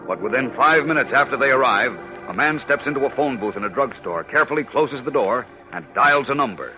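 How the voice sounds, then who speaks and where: A man's voice: medium pitch, expressive, fairly quick. A man giving suspenseful, emphatic scripted narration in a 1940s radio style on a dull old recording. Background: dramatic music.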